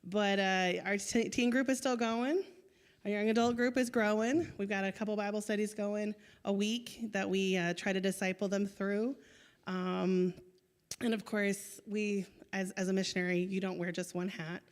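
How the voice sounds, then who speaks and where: A woman's voice: medium pitch, fairly even intonation, normal speed. A young woman giving a chatty, upbeat missionary testimony at church into a handheld mic, with many ums. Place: church sanctuary. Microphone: handheld microphone.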